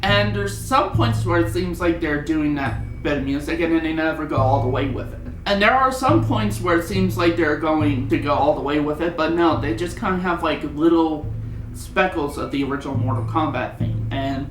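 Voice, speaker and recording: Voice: medium pitch, normal speed. Film reviewer, casual opinionated commentary, rambling conversational delivery, roomy echo, background guitar music.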